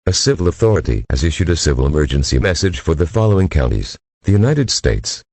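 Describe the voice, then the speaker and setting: A man's voice: very deep voice, sing-song, fast talker, darkish tone, slight background noise. A man reading a scripted civil emergency bulletin in a formal, official way. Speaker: emergency alert announcer.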